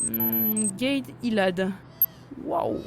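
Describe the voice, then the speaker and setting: A woman's voice: fairly high pitch, extremely slow, creaky, darkish tone, heavily acted. A woman as a businesslike officer, music behind. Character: businesslike, composed female police officer. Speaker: voice actor. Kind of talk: audio drama acting.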